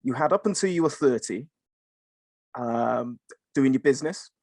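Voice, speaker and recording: A man's voice: medium pitch, animated, moderate pace, vocal fry, darkish tone, dry recording. Eager man hosting, dry close sound. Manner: chatty, eager, stumbling over his questions. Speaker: podcast host. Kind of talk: casual podcast interview.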